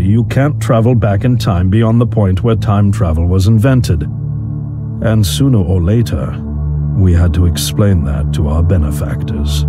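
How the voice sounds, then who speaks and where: A weary older scientist tells his story as a scripted game monologue. His voice is on the low side, grave and carefully articulated. Loud music plays beneath his words throughout. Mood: wry, disillusioned. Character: weary older male physicist confessing a secret catastrophe. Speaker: scientist character.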